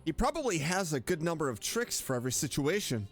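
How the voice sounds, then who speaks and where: A woman's voice: low voice, sing-song, fast talker, faint music behind. A woman streaming a game with casual commentary, reacting with joking scepticism. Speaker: streamer playing a story game.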